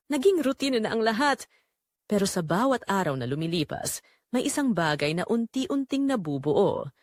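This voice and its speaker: A woman reading a scripted first-person dramatic story in an emotive, measured storytelling manner. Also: mid-range voice, no room sound, studio quality. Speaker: narrator.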